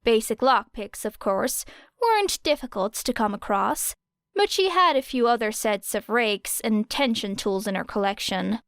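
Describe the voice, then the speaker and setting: A woman's voice: on the high side, animated, normal speed, clear, resonant tone, dry recording, clean studio sound. A woman narrating a scripted story as an audiobook reader.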